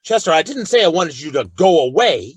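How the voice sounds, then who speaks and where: A man's voice: a little high, sing-song, brisk, slightly rough, no echo. A man playing a firm, loyal Old West marshal in a radio western, speaking in a frank, folksy, commanding way. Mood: firm, earnest. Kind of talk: old-time western radio drama acting.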